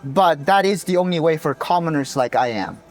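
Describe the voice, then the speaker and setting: A man's voice: on the high side, animated, brisk, soft background music. A man in his thirties from North Korea, a native Korean speaker, speaking English in a casual outdoor street interview, hesitant with many fillers and restarts. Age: 30s. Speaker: interviewee, defector.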